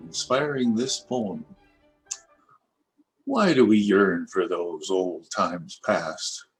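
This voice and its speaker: Older man reciting his own poems slowly. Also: fairly low pitch, rough recording. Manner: measured, expressive recitation. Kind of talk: poetry reading at an event.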